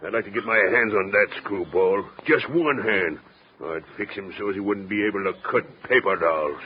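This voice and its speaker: A male actor plays a tough-talking, folksy American barkeep from a 1950s radio play. The voice is fairly low and gruff with indignation. It comes through a muffled old recording with faint noise. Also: normal speed, lightly acted. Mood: indignant. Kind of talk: 1950s radio drama acting.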